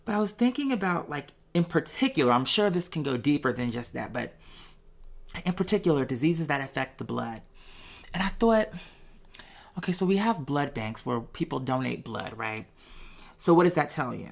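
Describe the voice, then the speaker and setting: A woman's voice: deep voice, animated, moderate pace, vocal fry. A woman talking casually off the cuff to viewers, rambling conversationally and checking in with rhetorical questions. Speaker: vlogger. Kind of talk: casual off-the-cuff monologue, thinking aloud to viewers.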